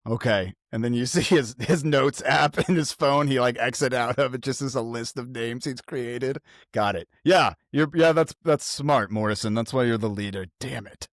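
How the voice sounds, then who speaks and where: Male roleplay player, mid pitch, casual, jokey, halting delivery, dry close sound. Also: no room sound. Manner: joking, halting, slangy.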